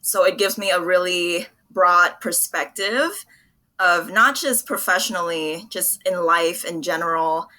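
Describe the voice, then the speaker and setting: Bright, lowish-voiced young woman being interviewed. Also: unhurried. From Vietnam. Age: young adult. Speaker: interview guest, public relations professional. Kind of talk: conversational interview answers.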